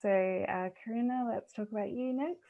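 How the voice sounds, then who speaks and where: A woman's voice: on the high side, normal speed, gravelly, narrow, tinny audio. A woman hosting a panel discussion on a video call, introducing the guests and moderating in an orderly way. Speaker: host.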